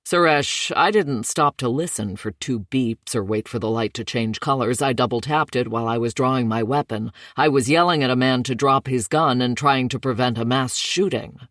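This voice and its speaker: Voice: mid-range voice, moderate pace, few pauses, fairly bright tone, no room sound, clean studio sound, close to an everyday voice. A narrator voicing a stressed male lawman who keeps his frustration in check. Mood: firm. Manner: firm, controlled frustration. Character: stressed male sheriff, weary and guarded.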